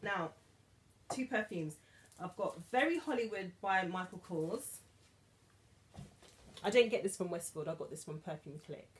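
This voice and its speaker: A British woman in her thirties chatting to camera in a beauty haul vlog, animated and dropping to whispers for asides, filmed in her dressing room. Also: lowish voice, unhurried, long pauses, a little hiss or hum. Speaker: beauty vlogger. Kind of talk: casual haul chat to camera. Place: bedroom dressing room with vanity, indoors.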